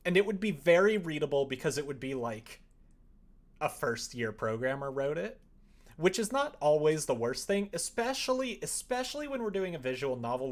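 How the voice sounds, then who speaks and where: A man chatting casually on a livestream as he codes, rambling in an animated, opinionated way. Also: mid-range voice. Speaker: live-streaming programmer. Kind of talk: casual livestream chat while coding.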